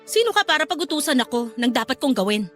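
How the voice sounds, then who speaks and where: High-voiced bitter grieving mother. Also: big pitch swings, fast, a bit bright. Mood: cold, curt. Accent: Filipino. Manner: cold, reproachful, firm. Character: bitter, unforgiving grieving mother, older sister. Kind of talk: Filipino radio drama acting. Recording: music under the dialogue.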